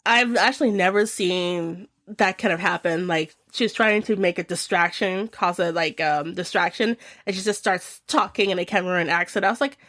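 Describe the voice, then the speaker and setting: A woman's voice: on the low side, normal speed, hardly any pauses, a bit bright, no room sound. A young woman chatting casually and enthusiastically as she reviews her favorite shows of the year, with many fillers.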